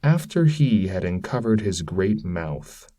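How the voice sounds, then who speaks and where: A man reading narrative verse aloud in a measured, solemn manner, as a scripted dramatic recitation. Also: sits low, sing-song, dark tone. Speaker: reader.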